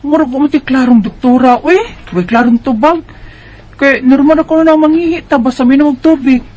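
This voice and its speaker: A woman's voice: high-pitched, moderate pace, darkish tone, lo-fi sound, lightly acted. A woman with a Cebuano Bisaya accent playing a tired, worried teenage schoolgirl who complains and questions in a radio drama. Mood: doubtful. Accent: Cebuano Bisaya Filipino.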